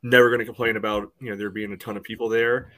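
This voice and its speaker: Low-pitched man in meandering podcast chat. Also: level tone, fast, bright, forceful tone. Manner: loose, meandering, peppered with "you know". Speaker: podcast co-host. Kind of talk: casual sports podcast chat.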